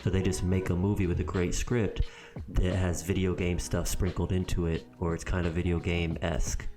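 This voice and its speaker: A man co-hosting a podcast in relaxed casual chat, with laughing asides. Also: sits low, normal speed. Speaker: podcast co-host.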